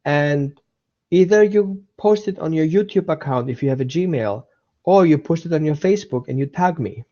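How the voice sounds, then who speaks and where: A man's voice: fairly high pitch, animated, normal speed, warm tone, lo-fi sound. Chatty Austrian-accented man on a live stream. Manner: chatty, repeats himself, greets viewers by name. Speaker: dog trainer hosting a webinar. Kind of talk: casual live-stream chat with viewers.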